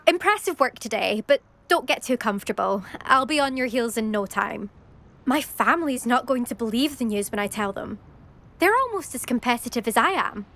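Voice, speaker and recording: A woman playing a haughty, competitive teenage schoolgirl in a video game, brisk and needling as she talks. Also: a little high, lively intonation, fairly bright tone. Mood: grudgingly friendly. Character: cocky, competitive teenage schoolgirl broom racer, impatient and proud. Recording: music behind the voice.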